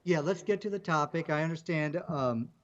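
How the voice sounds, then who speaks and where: A man making his case in a debate, measured and persistent. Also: on the high side, a bit bright, muffled recording. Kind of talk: debate argument.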